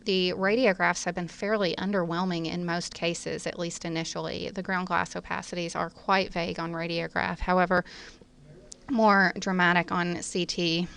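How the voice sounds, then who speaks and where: Female physician lecturer, lowish and smooth, explaining technical material formally. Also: flat delivery, moderate pace, a bit bright. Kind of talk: medical lecture commentary.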